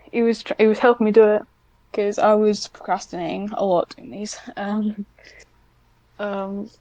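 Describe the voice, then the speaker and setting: Woman, casual podcast guest, low-key pitch movement, dry sound, hesitant, chatty, self-deprecating. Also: darkish tone, smooth, no echo, muffled recording. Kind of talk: casual one-on-one interview chat.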